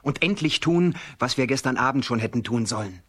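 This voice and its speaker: Actor as a surly, sharp-voiced peasant brother. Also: male voice, medium pitch, animated, a little fast, slight background noise, close to an everyday voice. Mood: menacing. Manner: curt, threatening. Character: surly, menacing grown peasant son. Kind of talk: German children's radio play acting.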